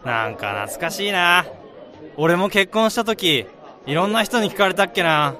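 A man's voice: high-pitched, lively intonation, normal speed, pushed and bright. A man acting in a radio drama as an easygoing married friend of a groom, around thirty, casual and bantering. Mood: reflective, sheepish. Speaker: lead actor and narrator. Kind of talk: radio drama acting with first-person narration. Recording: busy crowd noise behind the voice.

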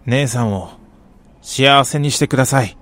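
An actor in a radio drama playing an earnest young brother-in-law who speaks politely and haltingly. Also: male voice, medium pitch, fairly bright tone, lightly acted. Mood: earnest pleading.

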